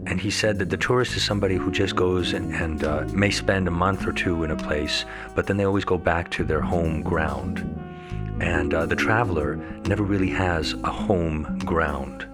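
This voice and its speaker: A middle-aged man with a very low voice gives a reflective interview, halting and restarting now and then as he searches for words. Loud plucked guitar music plays behind him throughout. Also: fairly even intonation. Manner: thoughtful, halting, restarts mid-thought. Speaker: documentary interviewee.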